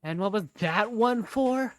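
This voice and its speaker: A man's voice: sits high, a little slow, dry recording, voice pushed up. A man voicing a playful, easily flustered teenage schoolboy in a game fandub, exclaiming and bantering.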